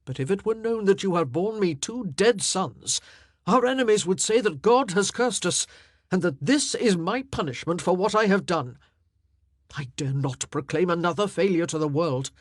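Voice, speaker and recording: A woman voicing a fierce, grieving king in his forties who speaks loudly and commandingly. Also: lowish voice, expressive, moderate pace, dry recording, studio quality. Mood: stern. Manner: loud, commanding and wrathful.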